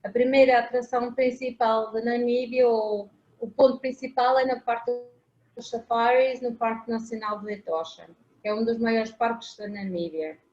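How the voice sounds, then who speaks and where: A high-pitched woman with a European Portuguese accent talks about safari routes over a video call. She hesitates a lot and keeps filling gaps with "pronto". The sound is roomy and dull, like lo-fi call audio. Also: fairly even intonation, normal speed, a little mellow, a little hiss or hum. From South Africa. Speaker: safari company manager. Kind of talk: interview answers and route presentation.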